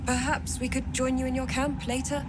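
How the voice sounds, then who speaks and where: An actress playing a gentle, devoted young woman in a video game, speaking tenderly and simply. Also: high voice, level tone, moderate pace, close to an everyday voice. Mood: warm, grateful. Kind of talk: dramatic video game acting. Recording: loud game music behind.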